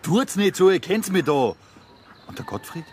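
An actor playing an accusing, hot-headed farmer's son in a radio drama, speaking rural Bavarian dialect. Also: male voice, sits high, very animated, moderate pace. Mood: agitated, accusing. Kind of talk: naturalistic radio drama acting. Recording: noisy farmyard scene, off-mic at times.

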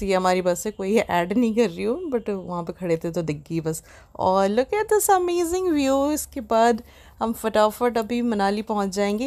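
A young woman narrating her travel vlog in a casual, chatty way, often excited and mixing in English phrases. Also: medium pitch. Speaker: travel vlogger.

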